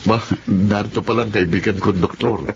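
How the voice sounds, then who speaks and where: A man's voice: fairly low pitch, fairly even intonation, normal speed, lo-fi sound, lightly acted. An actor in a Filipino radio drama playing a remorseful businessman boyfriend who is sick with covid. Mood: grateful, earnest.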